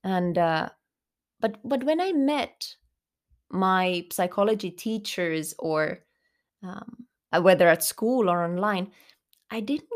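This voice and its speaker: A young woman co-hosting a podcast, telling her story in a thoughtful, unhurried way with many fillers and restarts. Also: mid-range voice, lively intonation. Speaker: podcast co-host. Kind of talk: reflective personal storytelling.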